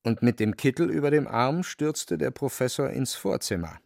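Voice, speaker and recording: A gravelly male narrator of middling pitch, recorded close and dry. He reads literary prose for a radio drama in a scripted storytelling style. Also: lively intonation, normal speed, darkish tone, dry recording. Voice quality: creaky, dry.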